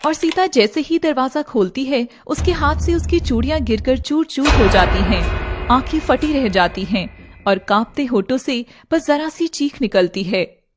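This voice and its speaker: A woman's voice: high voice, expressive, unhurried, soft background music. A woman narrating a scripted story in a dramatic storytelling style.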